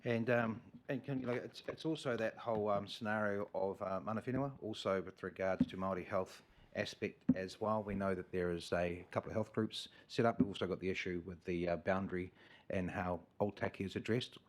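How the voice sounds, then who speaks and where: A man chairing a council committee meeting, measured and thanking each speaker. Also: low voice, steady tone.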